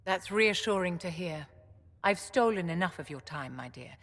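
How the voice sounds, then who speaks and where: An actress playing a grave, dignified senior mage in a video game, speaking formally and deliberately. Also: female voice, lowish voice, lively intonation, fairly bright tone. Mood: grave, probing. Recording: reverberant hall.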